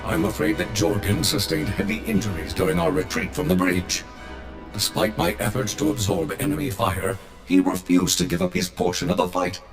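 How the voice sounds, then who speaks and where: An actor playing an earnest, dutiful combat droid in a video game, with formal, elaborate phrasing. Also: male voice, lowish voice, big pitch swings, normal speed, gravelly, music in the background, heavily acted. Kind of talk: video game cutscene acting.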